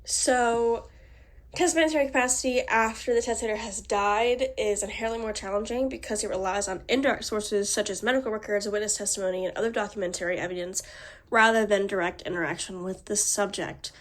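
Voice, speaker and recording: A woman's voice: medium pitch, fairly quick. A woman with a cold and a slightly nasal voice, reading out a prepared class presentation with small slips and restarts. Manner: reads formal text with small slips and restarts. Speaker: student presenter.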